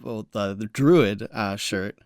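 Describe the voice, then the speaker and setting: Clear-voiced male podcast co-host. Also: fairly low pitch, slow, darkish tone, no echo, studio quality. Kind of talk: casual podcast chat.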